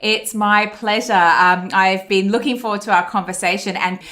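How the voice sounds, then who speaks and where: A woman hosts a podcast interview in a conversational style. Her voice sits at an average pitch, and she comes across as reflective and affirming as she frames things for her guest. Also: steady tone, normal speed, sharp tone. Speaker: podcast host.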